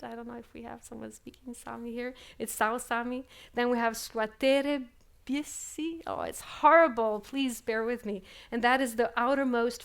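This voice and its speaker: Higher-voiced woman giving a reflective keynote. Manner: reflective, asks the audience questions, many fillers. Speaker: conference speaker. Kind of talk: live keynote talk. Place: conference hall.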